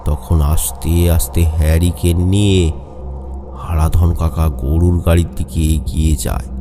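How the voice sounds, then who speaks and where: A man's voice: sits low, little pitch movement, normal speed. A man narrating a scripted horror story in a suspenseful, dramatic manner, with music behind him.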